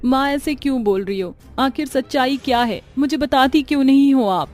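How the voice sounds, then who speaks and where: Woman playing a high-voiced, coaxing young daughter. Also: normal speed, darkish tone, faint music behind, close to an everyday voice. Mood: pleading, curious. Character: caring, curious young daughter of a witch, aged twenty. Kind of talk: Hindi cartoon story voice acting.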